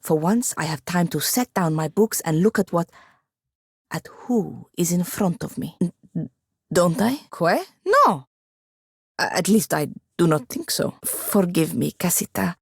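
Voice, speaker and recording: A woman's voice: lowish voice, lively intonation, a little slow, vocal fry, slightly rough, dry recording, exaggerated voice. An actress playing a proud, bookish young wizard woman in a fantasy video game, speaking formally with a dry, haughty manner. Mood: flustered. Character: proud, bookish, sharp-tongued young wizard woman, newly freed apprentice. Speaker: companion character in a fantasy role-playing game. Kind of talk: scripted video game dialogue.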